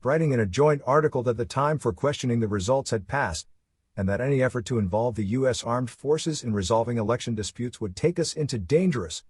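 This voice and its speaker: A man reading a scripted news report in a formal, measured manner. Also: mid-range voice, darkish tone, slightly rough, dry recording. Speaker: news reader.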